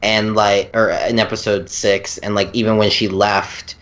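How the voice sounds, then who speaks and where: A woman's voice: extremely low pitch, little pitch movement, unhurried, lo-fi sound. A woman in a casual fan discussion on a podcast, talking in an earnest, hesitant, rambling way. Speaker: podcast co-host or guest.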